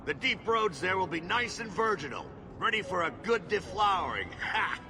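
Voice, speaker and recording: An actor playing an impatient, crude and bombastic dwarf expedition leader in a video game, blustering and barking orders. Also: male voice, high voice, very animated, normal speed. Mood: bombastic, eager. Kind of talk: video game cutscene acting. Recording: loud music behind dialogue. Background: loud music.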